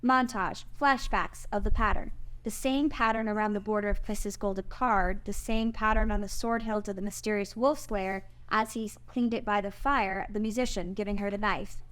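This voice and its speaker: A woman's voice: medium pitch, fairly even intonation, normal speed, hardly any pauses, fairly bright tone, clear, resonant tone. A woman narrator reading stage directions in a scripted screenplay table read.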